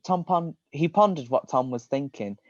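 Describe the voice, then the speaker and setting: A man reads comic fan fiction aloud in a medium-pitched voice. The sound is dry and slightly muffled, like a lo-fi online stream. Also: animated, normal speed, no room sound. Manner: often breaks into giggles. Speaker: reader. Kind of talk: reading comedic fan fiction aloud on a livestream.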